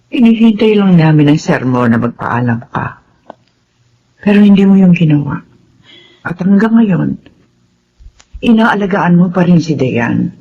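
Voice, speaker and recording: An actress playing a sorrowful, apologetic rich mother and employer with a measured, earnest manner in a Filipino radio drama. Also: on the low side, husky, full character voice. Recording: dull, muffled audio.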